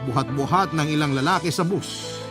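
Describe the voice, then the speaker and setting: Worried guy narrating his own sad story, acted by a man, radio drama, highish emotional voice, music behind. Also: fairly quick, natural voice. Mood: shaken. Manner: earnest, emotional. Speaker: letter-sender narrator. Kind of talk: dramatic first-person narration. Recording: music bed under narration.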